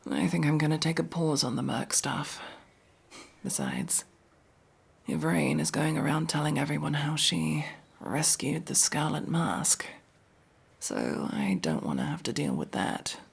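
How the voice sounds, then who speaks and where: A woman playing a masked tsundere elf mercenary in an intimate ASMR roleplay, speaking in a hushed, halting, breathy and husky voice. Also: sits low, very animated, heavily acted. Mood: soft, relieved.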